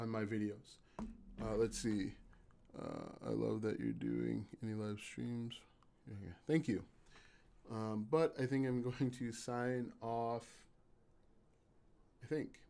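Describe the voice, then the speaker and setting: A man hosting a casual live stream, with a raspy voice and an even-keeled, low-energy manner, halting with fillers. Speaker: YouTube channel host.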